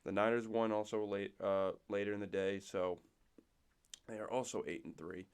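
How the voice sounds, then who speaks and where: A young man, hoarse and nasal from a cold, co-hosting a casual podcast and rattling off game stats. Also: fairly low pitch, steady tone, moderate pace. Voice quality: raspy, nasal. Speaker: podcast co-host. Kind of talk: casual sports chat.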